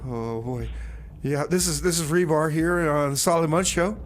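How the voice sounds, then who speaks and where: A man hosting a music radio show, rambling casually in a folksy, halting way full of exclamations. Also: on the high side, slight background noise. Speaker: radio host.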